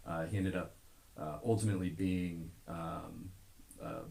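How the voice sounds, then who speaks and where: A very low-voiced American man talks in a casual interview. He speaks earnestly and rambles along, leaning on filler phrases. The room adds a noticeable echo to his voice. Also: fairly even intonation, a little slow, creaky, a little mellow. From Omaha, Nebraska. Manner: rambling, earnest, frequent "you know". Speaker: podcast guest, gym owner. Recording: roomy, echoing podcast recording.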